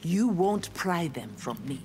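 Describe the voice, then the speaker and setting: A lowish Nigerian-accented young warrior woman speaks with formal, firm defiance. She is a proud, righteous armored ninja in a fighting game, with faint music behind. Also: lively intonation, unhurried, a little mellow. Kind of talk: video game fight dialogue.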